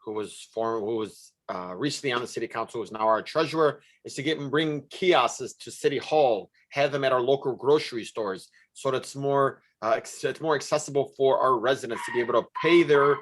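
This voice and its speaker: Male, council candidate, public forum answer, informal, halting delivery, mid-range voice. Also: mid-range voice, fairly bright tone. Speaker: city council member and candidate.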